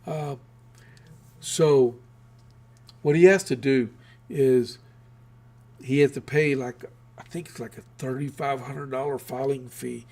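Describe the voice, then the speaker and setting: A man's voice: medium pitch, slow pace, pauses often, dark tone, husky. A man from Texas hosting his own political news show, talking casually with many fillers and restarts while losing his voice.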